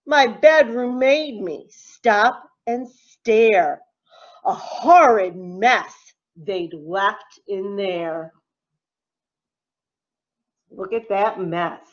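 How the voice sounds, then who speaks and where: A woman teacher reading a rhyming picture book aloud to children in a deliberate, expressive, sing-song way. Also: mid-range voice, muffled recording. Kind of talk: expressive storybook read-aloud in rhyme.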